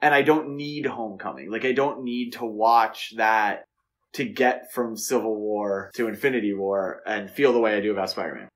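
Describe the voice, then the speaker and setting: A man's voice: fairly low pitch, normal speed. A man co-hosting a podcast, talking casually and giving his opinions on films in a conversational way. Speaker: podcast co-host. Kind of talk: casual film discussion.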